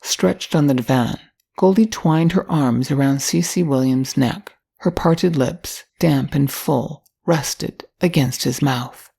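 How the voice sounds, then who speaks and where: An older woman narrates a written story at a measured, storytelling gait. Her voice is husky and rough-edged, with a steady creak running through it. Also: sits low.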